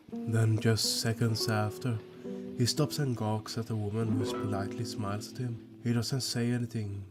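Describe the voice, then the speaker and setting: A man narrates a film breakdown in a low, level voice that barely rises or falls. His delivery is scripted and steady, with dry asides. Plucked guitar music plays clearly beneath him. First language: Swedish. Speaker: YouTube film analyst. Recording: voice-over with guitar music behind.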